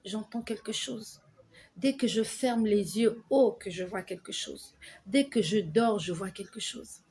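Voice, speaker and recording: Earnest woman preaching slowly to viewers. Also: mid-range voice, warm tone, slightly rough. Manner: earnest, repetitive, slow emphatic phrasing. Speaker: online preacher. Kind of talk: devotional exhortation to viewers.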